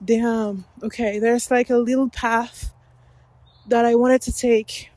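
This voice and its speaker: A French-native woman talks slowly in a fairly high voice, rambling and correcting herself as she goes. She records her solo podcast on a walk along an outdoor forest path. The sound is dry and close, with almost no room around her voice. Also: flat delivery, warm tone, dry recording. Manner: rambling, self-correcting, laughs often. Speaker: podcast host. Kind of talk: unscripted solo podcast while walking.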